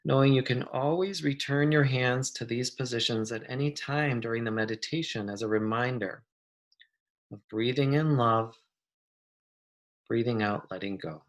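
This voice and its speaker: Gentle, unhurried meditation guide. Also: medium pitch. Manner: gentle, soothing, unhurried instruction with long pauses.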